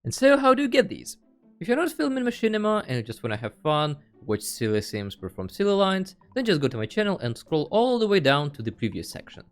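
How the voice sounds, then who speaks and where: Male tutorial host, animated mid-range voice, casual and playful delivery, faint music underneath. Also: high voice, brisk. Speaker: host of a video game tutorial channel. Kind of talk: casual tutorial screen narration.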